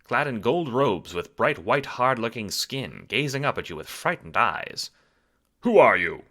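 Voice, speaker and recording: A man giving dramatic, wry scripted narration for an audio drama, theatrical and expressive. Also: mid-range voice, measured pace.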